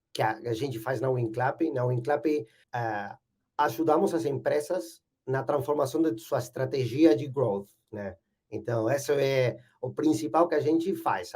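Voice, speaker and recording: A man's voice: medium pitch, warm tone. Argentine man speaking careful Portuguese. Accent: Argentine Spanish. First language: Spanish. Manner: hesitant, fills pauses with "eh". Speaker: guest. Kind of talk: casual interview talk.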